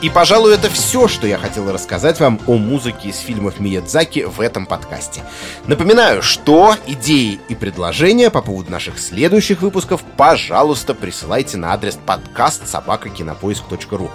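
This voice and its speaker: A man's voice: medium pitch, big pitch swings, brisk, faint music behind. A man hosting a podcast, reading a scripted essay in an articulate, emphatic way. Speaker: podcast host.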